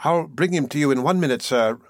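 A man voicing a two-faced woman who keeps pauper children in a storybook reading, both obsequious and sharp-tongued. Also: on the high side, brisk, dry recording, pitched above the performer's own voice, natural voice. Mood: fawning. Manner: obsequious to superiors, scolding to children. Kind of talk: audiobook character acting.

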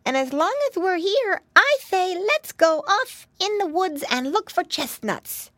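Woman as piglet boy: very high, squeaky, eager, slow and bouncy. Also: dry recording, full character voice. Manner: squeaky, excited, greedy for food.

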